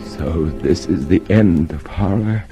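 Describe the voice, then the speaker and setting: An actor playing a tormented, broken prisoner in a vintage radio drama, halting and anguished as he repeats himself. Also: sits low, over loud music. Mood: numb dread. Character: tormented, broken, frightened yet defiant male prisoner. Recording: faintly noisy old radio drama recording.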